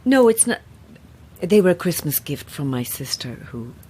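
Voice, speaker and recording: An actress playing a sceptical, guarded horror novelist in a naturalistic audio drama, speaking in a dry, curt way. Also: female voice, deep voice, big pitch swings, normal speed, darkish tone, slightly rough, exaggerated voice.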